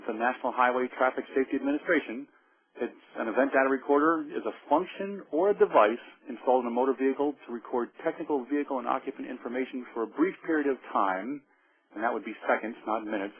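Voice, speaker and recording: Jersey-accented middle-aged male presenter, loose and stop-start, narrow phone-line sound, mid voice. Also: medium pitch. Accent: New Jersey. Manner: easygoing, repeats words and restarts, many ums. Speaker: webinar presenter, forensic engineer. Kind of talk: technical lecture. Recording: phone-like webinar audio.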